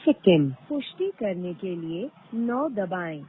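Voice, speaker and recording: A woman reading scripted automated phone prompts in a formal, measured way with deliberate pauses, heard over a recorded phone call. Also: fairly low pitch, animated, slight background noise. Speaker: automated phone line announcer. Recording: tinny band-limited phone line recording.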